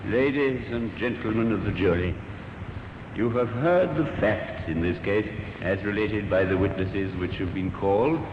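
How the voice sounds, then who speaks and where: Actor as a solemn judge in echoing hall. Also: male voice, lowish voice, close to an everyday voice. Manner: formal, deliberate, solemn. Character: solemn, weighty male judge addressing a jury. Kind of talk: radio courtroom drama oration. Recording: echoey hall, muffled noisy old radio recording.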